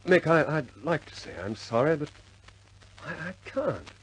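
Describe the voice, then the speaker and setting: An actor playing an earnest, self-doubting young RAF squadron leader in a 1950s British war film, hesitant and understated. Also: male voice, a little high, expressive, measured pace, a little hiss or hum, poor audio. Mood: regretful.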